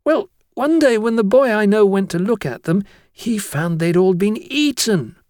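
A man's voice: high voice, animated, a little slow, darkish tone, no room sound, studio quality, voice pushed up. A man voicing a chatty, melodramatic young schoolboy in an earnest, insistent storybook performance. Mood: mournfully dramatic. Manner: earnest, insistent, addressing teacher as sir.

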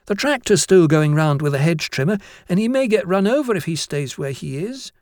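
A man's voice: on the high side, expressive, normal speed, a little mellow, no room sound, clean studio sound, voice pushed up. A man voicing an eager, earnest British schoolboy, polite and pleading, in an audiobook. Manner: breathless, earnest, deferential. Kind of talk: audiobook character voice.

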